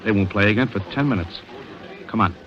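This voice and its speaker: An actor playing a restless, smooth-talking male prowler and thief in a 1940s-style radio drama. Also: fairly low pitch, a little fast, a little hiss or hum, natural voice. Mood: insistent, smooth. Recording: muffled vintage radio recording, music behind.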